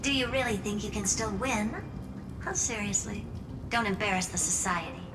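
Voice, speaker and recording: A woman's voice: mid-range voice, normal speed, noisy background, natural voice. Sassy, taunting young woman played by an actress, slightly distant. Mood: mocking. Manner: taunting, flippant. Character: cocky, mocking young woman, clever and sassy. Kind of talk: scripted video game acting.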